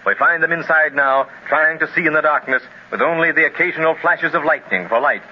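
A man's voice: on the high side, fairly quick, lo-fi sound. A man narrating a 1930s radio adventure serial with urgent, dramatic storytelling. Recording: scratchy old radio transcription with hiss.

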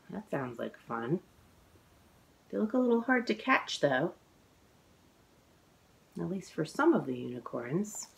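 A woman in her forties reading a children's picture book aloud, expressive and exclaiming, drawing out words for young listeners. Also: fairly high pitch, gravelly. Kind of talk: animated children's read-aloud storytelling. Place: living room at home, indoors.

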